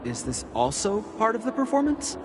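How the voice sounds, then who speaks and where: A man's voice: sits high, big pitch swings, normal speed. An actor voicing a puzzled, doubtful male hotel guest in a video game. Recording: game audio with music.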